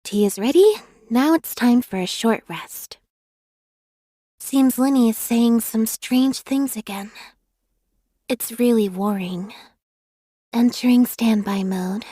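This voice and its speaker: A woman voicing a reserved, observant young female magician's assistant in a video game, speaking in a flat, measured, deadpan way. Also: a little high, dry recording, clean studio sound. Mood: detached, calm. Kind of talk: scripted video game character voice lines.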